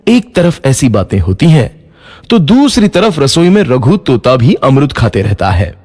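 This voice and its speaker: A man narrating a children's cartoon story in a lively, expressive way. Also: medium pitch, unhurried.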